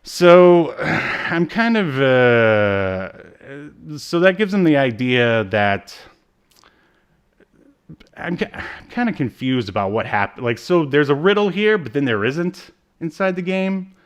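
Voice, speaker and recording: A man's voice: fairly high pitch, big pitch swings, slow, clear, resonant tone. A man co-hosting a podcast, casually recapping in a hesitant, rambling way. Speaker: podcast co-host. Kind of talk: casual chat recapping a TV episode.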